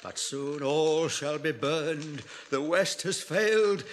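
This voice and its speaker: High-voiced, mad, proud old ruler. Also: male voice, slow pace. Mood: bitter despair. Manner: haughty, theatrical. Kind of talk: radio drama acting.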